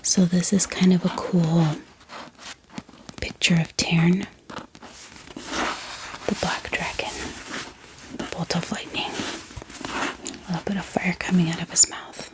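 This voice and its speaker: Whispering woman, soft ASMR delivery. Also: on the low side, flat delivery, takes it slowly, pauses often, low-quality recording. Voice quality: breathy whisper. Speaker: ASMR book vlogger.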